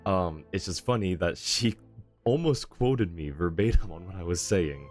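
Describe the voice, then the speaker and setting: A man with a deep voice streams a game. He talks in a casual, rambling, conversational way, with music playing in the background. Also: lively intonation, unhurried, a little mellow. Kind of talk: casual live stream commentary.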